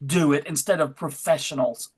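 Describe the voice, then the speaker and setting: A woman's voice: deep voice, moderate pace, slightly rough. Wry woman in casual panel chat. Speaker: panelist at a fan convention.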